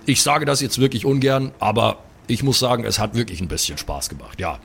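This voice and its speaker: Man, lowish gravelly voice, hesitant mock-earnest role-play, faint background noise. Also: brisk, fairly bright tone. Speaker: role-play podcast player. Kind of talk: improvised in-character role-play.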